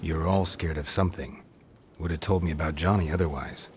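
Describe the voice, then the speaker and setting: A man playing a stoic, gruff monster hunter in a video game, speaking in terse, restrained, gravelly lines. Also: very deep voice, fairly even intonation, brisk, a little hiss or hum. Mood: calm, guarded. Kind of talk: video game cutscene acting.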